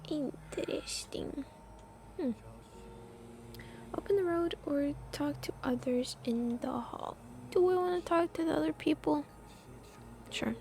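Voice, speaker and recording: A woman's voice: high voice, measured pace, pauses often, darkish tone, a little hiss or hum. A woman live-streaming a game, chatting and reacting casually, candid and musing, often dropping to a murmur. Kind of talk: casual chat and reactions while playing.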